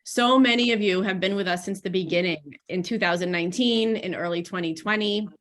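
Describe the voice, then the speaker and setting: A woman's voice: mid-range voice, a little fast. A woman hosting a video-call briefing as program director, a little stuffy from a cold, upbeat with small restarts.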